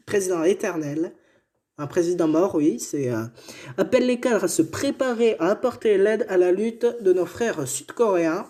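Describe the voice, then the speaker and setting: A man with a mellow, dark voice reads history notes aloud in a relaxed chat. He often stumbles, restarts words and drops joking asides between facts. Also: high-pitched. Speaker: co-host telling history. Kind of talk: casual reading of history notes.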